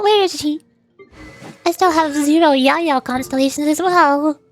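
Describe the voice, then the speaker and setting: A woman hosting a casual gaming livestream, chatting in an animated, playfully whiny way. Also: sits high. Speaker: VTuber livestream host.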